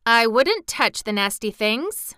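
A woman voicing a hungry, peevish female kitten in a storybook reading, with a soft purring voice. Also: a little high, big pitch swings, takes it slowly, smooth, no room sound, clean studio sound, voice pushed up, heavily acted.

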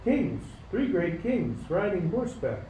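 Casting: man as excited young child, soft dark tone, high-ish, in an echoey amateur radio play. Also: a little slow. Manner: eager, exclamatory. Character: excited, breathless, wide-eyed young child. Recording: roomy, distant, faintly noisy recording.

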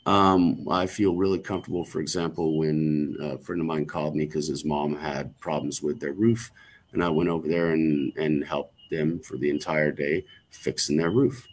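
A man's voice: a bass voice, fairly even intonation, normal speed. A man in casual podcast conversation, rambling with frequent ums and restarts and casual swearing. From United States. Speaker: podcast co-host.

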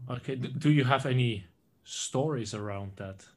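Hesitant man asking halting questions. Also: medium pitch, darkish tone. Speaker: podcast host. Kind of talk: interviewing, casual chat.

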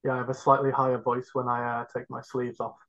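A man's voice: medium pitch, completely flat delivery, fast talker, fairly bright tone, muffled recording. A man co-hosting a casual podcast, dropping wry, joking asides. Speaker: podcast co-host.

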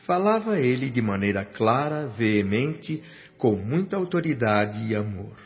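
Reverent radio-play narrator over music. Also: male voice, mid-range voice, sing-song. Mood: reverent narration. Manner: measured, reverent, literary. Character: male doctor spirit recounting afterlife, reverent, earnest. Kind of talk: dramatised first-person radio narration. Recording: dull radio-drama recording with music.

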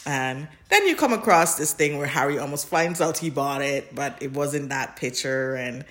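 A woman's voice: extremely low pitch, animated, normal speed, a bit bright. A woman co-hosting a podcast, chatting casually and informally about fan fiction in a giggly, rambling way. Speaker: podcast co-host.

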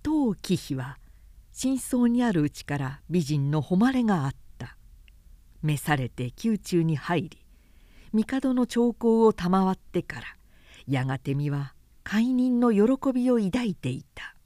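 A woman narrator giving a measured, dramatic reading of scripted historical literature. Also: mid-range voice, sing-song, mellow, no room sound, studio quality.

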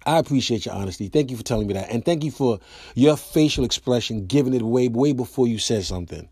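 An American man hosting his own podcast, telling comic stories in a loose, animated way. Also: medium pitch, fast talker, husky, no echo, studio quality. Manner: animated storyteller, acts out quoted voices. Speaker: podcast host and comedian. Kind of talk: casual storytelling monologue.

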